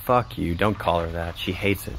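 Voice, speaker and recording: Low-voiced, blunt teenage boy. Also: fairly even intonation, moderate pace, a bit bright, a little hiss or hum. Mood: angry. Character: jealous, hot-headed teenage boy, blunt and grumbling. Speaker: actor in an audio drama. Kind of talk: naturalistic audio fiction acting.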